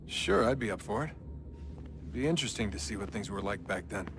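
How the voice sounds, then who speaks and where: A man's voice: fairly low pitch, animated, fairly quick, background noise. An actor playing a laid-back, curious young man in a video game, speaking casually and briefly with understatement. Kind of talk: naturalistic video game acting.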